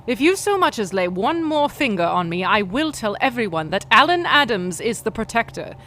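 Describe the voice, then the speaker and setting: An actress playing a cunning, defiant female vigilante in a pulp radio drama, with formal, deliberate, menacing phrasing. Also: on the high side, big pitch swings, a bit bright, slight background noise. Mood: defiant, threatening.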